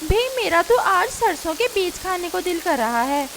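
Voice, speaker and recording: A woman as a high-voiced, bossy mother-in-law bird. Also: very animated, a little slow, a bit bright, a little hiss or hum, heavily acted. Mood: demanding. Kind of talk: Hindi cartoon character acting.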